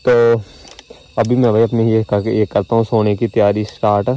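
A man's voice: lowish voice, flat delivery, moderate pace, mellow. A young man in his twenties filming a solo camping vlog on a handheld phone, outdoors in a forest at night and inside a small tent. He talks nervously, stammering and restarting words. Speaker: vlogger. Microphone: phone held at arm's length.

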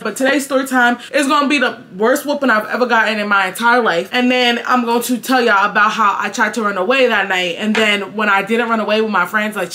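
A nineteen-year-old woman telling a casual storytime vlog in her bedroom, animated and slangy, jumping from loud bursts to low muttered asides. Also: a little hiss or hum. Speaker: vlogger. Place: small bedroom, indoors.

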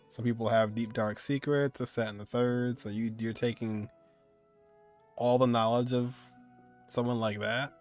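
A man in his twenties giving casual, musing reaction commentary as a game streamer. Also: medium pitch. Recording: game music behind the voice.

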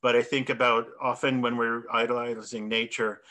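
A man's voice: mid-range voice, flat delivery, moderate pace, sharp tone. A middle-aged man speaking reflectively in a panel discussion over a video call from a home office. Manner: reflective, gestures while talking, self-correcting. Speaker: artist presenter. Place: home office with bookshelves and plants. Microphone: video call webcam.

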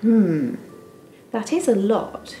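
A woman's voice: mid-range voice, sing-song, takes it slowly, warm tone, echoey room, soft background music, pitched above the performer's own voice. Woman reading as an earnest, loving little hare. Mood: wistful, thoughtful. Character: small eager young male hare, loving and sleepy.

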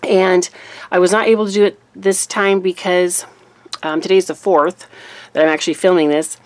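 A woman YouTuber recovering from a cold, her voice deeper than normal, chatting casually through a monthly craft recap at her desk. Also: moderate pace, dry recording. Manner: chatty, relaxed, with fillers. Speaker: papercraft YouTuber. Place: desk in a room, overhead camera on a cutting mat.

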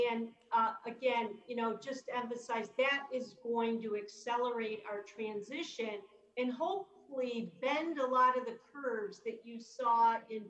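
A woman's voice: fairly high pitch, fairly bright tone. A middle-aged woman from upstate New York giving an upbeat, chatty keynote talk as an economist over a video call. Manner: upbeat and chatty, with casual asides. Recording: roomy, slightly dull video-call audio.

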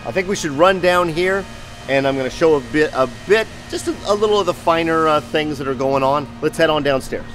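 A man's voice: fairly high pitch, fairly quick, a bit bright, soft background music. A man in his forties giving an enthusiastic, informal tour to camera as a show host. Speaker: company video show host. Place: large open factory hall, indoors. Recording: noisy factory floor ambience.

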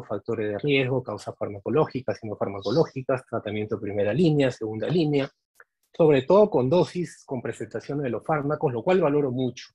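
A husky-voiced Peruvian man in his forties speaks to graduating medical students in an earnest, reflective way, often closing his thoughts with small tag questions. His voice sits at a middle pitch. The sound is close and dry, picked up by a podium microphone. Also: a little mellow, dry recording. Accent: Peruvian Spanish. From Peru. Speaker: medical teacher giving a speech. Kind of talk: prepared speech to graduating students. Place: indoors, conference room.

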